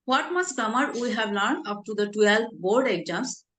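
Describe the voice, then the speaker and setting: A woman, asking questions in a casual practice chat, halting and searching for words. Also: a little high, lively intonation, fairly bright tone. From India. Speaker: interviewer. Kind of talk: casual English practice conversation. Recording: echoey room.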